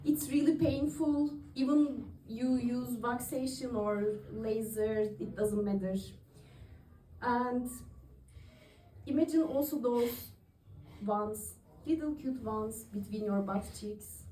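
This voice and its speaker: A woman in her thirties from Turkey does stand-up on a club stage, chatty and quick to laugh at her own jokes. Her voice sits high, and she takes her time with the lines. The sound comes from a distance, with room echo. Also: warm tone, slight background noise. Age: 30s. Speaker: stand-up comedian. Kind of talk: open-mic stand-up comedy. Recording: echoey room recorded from afar.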